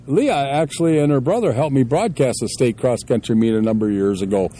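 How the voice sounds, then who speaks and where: A man hosting a casual local radio sports interview, chatty and quick with follow-up questions, laughing often. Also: medium pitch, warm tone, a little hiss or hum.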